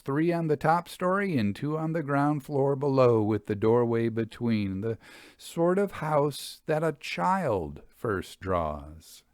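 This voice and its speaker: Guy reading an old victorian story, really animated pitch, formal, no room echo. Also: clean studio sound. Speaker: storyteller reading a book aloud. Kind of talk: expressive scripted narration of a Victorian travel account.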